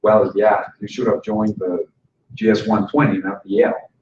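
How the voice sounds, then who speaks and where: A man's voice: fairly low pitch, flat delivery, moderate pace, darkish tone. A man giving a conversational conference presentation to instructors, joking with the audience now and then. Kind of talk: conference talk. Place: conference room. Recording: roomy hall sound from a distant mic, dull and slightly noisy.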